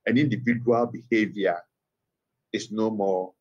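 A middle-aged man with a Ghanaian accent, a guest speaking by phone in a TV interview, measured and emphatic with pointed rhetorical questions. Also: lowish voice, fairly even intonation, darkish tone, highs cut off. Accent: Ghanaian English. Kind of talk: TV interview commentary. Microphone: phone line.

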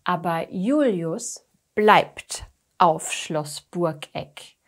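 A young woman from Germany teaching with slow, simplified storytelling for language learners, using simple phrases, pauses and emphasis on key words. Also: lowish voice, animated. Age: young adult. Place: small attic room indoors. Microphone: lapel mic.

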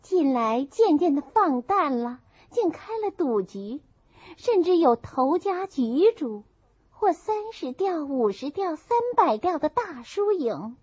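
An earnest young noblewoman , played by a woman narrator, explains respectfully in a high, lively voice. She is outspoken, a storybook young lady on a dull, dry recording. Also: slow pace, no echo, exaggerated voice. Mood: earnest, concerned.

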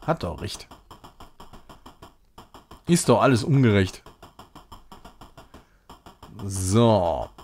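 A man's voice: fairly low pitch, very animated, drawn-out words, pauses often, darkish tone, a little hiss or hum. A man giving casual live game commentary as a streamer, thinking aloud in short muttered phrases. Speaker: streamer playing a retro puzzle game.

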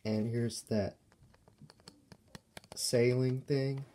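A man giving a casual, unscripted show-and-tell as a toy reviewer, speaking in halting, broken phrases. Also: medium pitch, fairly even intonation, warm tone, a little hiss or hum. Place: indoors at a table by a window.

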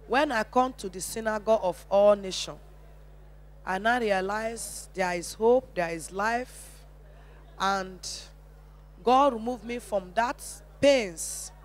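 A woman's voice: mid-range voice, slow, darkish tone, slight background noise. A middle-aged woman speaks with a Nigerian accent in an earnest testimony. She sounds devout and emphatic as she gives thanks. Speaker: church member giving testimony. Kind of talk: religious testimony.

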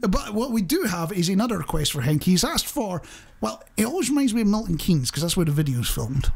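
A man's voice: sits high, big pitch swings, brisk, vocal fry, darkish tone, breathy edge. A middle-aged man hosting a radio show with casual, jokey chat, speaking into a studio microphone. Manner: jokey, chuckles often. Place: small indoor radio studio. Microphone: studio microphone on boom arm.

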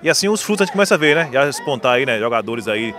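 A man's voice: medium pitch, sing-song, racing, a bit bright. A man, a radio reporter rambling through questions with many tag questions, on a handheld mic outdoors. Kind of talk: outdoor interview. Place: stadium stands outdoors.

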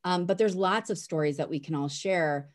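A woman's voice: fairly low pitch, normal speed. A middle-aged woman hosting a webinar panel over a video call, chatty and self-deprecating as she introduces the guests. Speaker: host and moderator. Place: home room, indoors.

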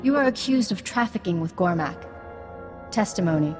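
A woman's voice: lowish voice, lively intonation, moderate pace, music in the background, natural voice. An actress playing a stern female alien tribunal judge in a video game, speaking in a clipped, formal way.

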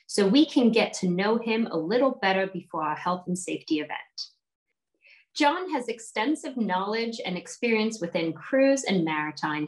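A woman's voice: fairly high pitch, low-quality recording. A woman in her thirties hosting an interview over a video call from home. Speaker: interview host, event director. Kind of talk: scripted introduction, then friendly interview questions. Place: living room at home, indoors.

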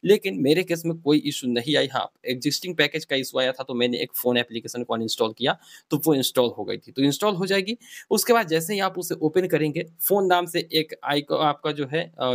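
A man's voice: on the high side, a little fast, dry recording, clean studio sound. A man presenting an informal step-by-step tech tutorial, explaining things directly to viewers.

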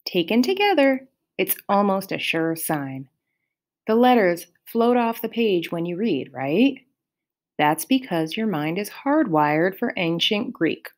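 A woman reading aloud and voicing a confident teenage girl who explains things in an earnest, quick way. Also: medium pitch, sing-song. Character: confident teenage girl camper, know-it-all.

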